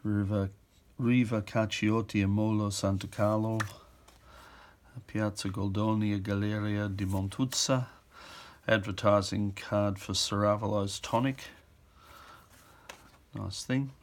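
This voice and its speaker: A middle-aged man giving an informal walkthrough of auction items in an offhand, halting way, as if thinking aloud. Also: on the low side, flat delivery. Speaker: auction house presenter. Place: indoors at a table.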